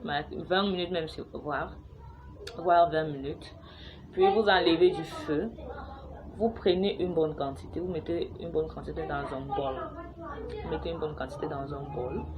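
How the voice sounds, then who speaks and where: A young woman from Cameroon talks to her viewers in a chatty, halting way, full of fillers and restarts. Her voice sits quite low. The home recording carries clear background noise, with children heard playing nearby. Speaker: vlogger sharing a home remedy. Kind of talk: casual how-to talk to camera. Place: home, with noisy neighbours and children playing outside.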